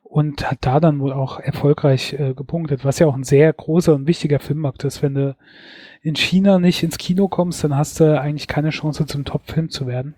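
Chatty man with a mellow voice. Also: on the high side, steady tone, moderate pace, dry recording. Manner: chatty, hedging with fillers. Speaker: podcast co-host. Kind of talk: casual chat, year-in-review.

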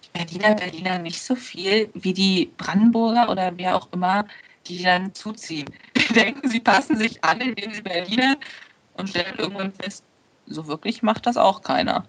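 Eine Frau, die in einer Podcast-Runde locker und bedacht mitredet und dabei leicht lacht. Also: female voice, medium pitch, normal speed, rough recording. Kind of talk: casual chat.

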